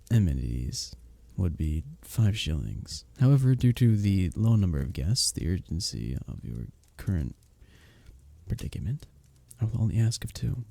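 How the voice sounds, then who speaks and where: Man playing a dark-voiced, flirty medieval innkeeper. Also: sits low, dry recording, full character voice. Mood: friendly, reassuring. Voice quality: dark, husky, gravelly. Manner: formal old-fashioned diction, teasing, gently courteous. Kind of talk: romantic ASMR roleplay, scripted character acting.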